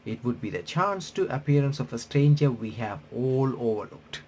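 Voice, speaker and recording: A man reading game text aloud and adding casual, halting commentary as a playthrough streamer. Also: medium pitch, lively intonation, darkish tone, a little hiss or hum. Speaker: YouTuber playing and reacting to a visual novel.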